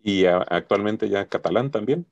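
A man's voice: on the low side, normal speed, no echo, lo-fi sound. A middle-aged man hosting a casual interview over a video call, speaking into a headset mic. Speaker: interviewer, host. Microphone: wired earphone headset.

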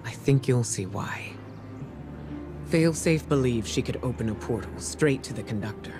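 An actress playing a composed middle-aged commander in a video game, measured and serious. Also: female voice, rumbling low. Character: grave, composed female commander confiding a risky plan. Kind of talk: video game story dialogue. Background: music.

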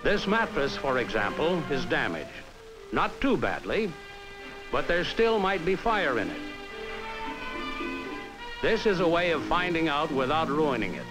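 An American man reading a scripted 1950s training film narration in a measured, instructive, carefully enunciated way, on a vintage film soundtrack. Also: on the high side, big pitch swings, low-quality recording. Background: background music.

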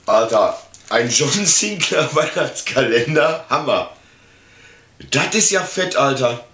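A man's voice: medium pitch, animated, slow pace, bright, forceful tone. A middle-aged man from Germany chatting casually and slangily as he hosts an unboxing video, rambling informally, picked up by a camera mic in a small room. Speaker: video host. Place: small indoor room full of collectibles. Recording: roomy echo, slight background noise.

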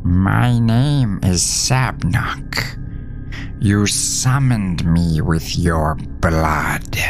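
A man voicing a small maggot-faced demon in a horror story, speaking in a slow, hushed, tender and eerily soothing way. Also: deep voice, full character voice. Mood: eerie, coaxing. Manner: slow, drawn-out, hushed delivery. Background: music.

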